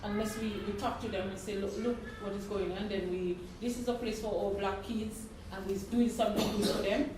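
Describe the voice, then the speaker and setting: A woman's voice: mid-range voice, steady tone, brisk, few pauses. A woman speaking earnestly as she makes her point in a group discussion. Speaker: community member speaking at a gathering. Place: echoey indoor room. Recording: very echoey room, distant mic, noisy.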